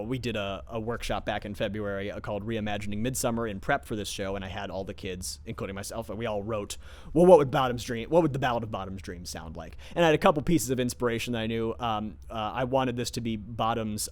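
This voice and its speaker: A man speaking as a theatre director in an informal, scholarly talk, enthusiastic but often searching for words as he explains. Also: mid-range voice. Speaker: theatre director discussing his production.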